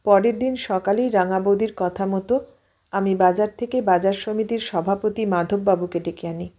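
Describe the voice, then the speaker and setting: A woman narrates a literary story in a medium-pitched voice. Her reading is dramatic and emotive, shaped like an audiobook performance. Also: fairly even intonation, moderate pace, mellow.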